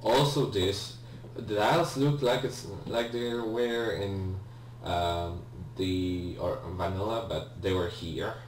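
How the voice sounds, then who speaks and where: A man's voice: on the low side, fairly even intonation, slow pace, hardly any pauses. A man giving casual video game commentary in a hesitant, rambling way, often correcting himself. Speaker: let's player, video game host. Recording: roomy, distant mic with faint hum.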